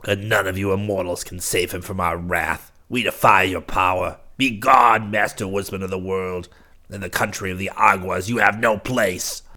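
A man's voice: low voice, level tone, a little slow, a bit bright. A man voicing a fierce, insolent king of wicked mountain creatures in a storybook, haughty, menacing and defiant. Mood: raging, savage.

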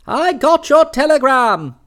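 A man voicing a booming, imperious older aunt who blusters and scolds. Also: big pitch swings, unhurried, fairly bright tone, exaggerated voice. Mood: blustering.

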